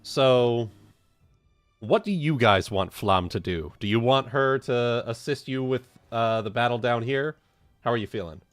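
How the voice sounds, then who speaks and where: A man's voice: medium pitch, measured pace, soft background music. A man talking casually as a player in a tabletop roleplaying session, often giving short, agreeable replies. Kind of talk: casual game-session chat.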